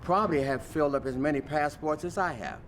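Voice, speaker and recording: A man's voice: on the high side, quick pace, a bit bright, slight background noise. A man hosting and opening the show with a showman's greeting. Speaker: host. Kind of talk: show introduction.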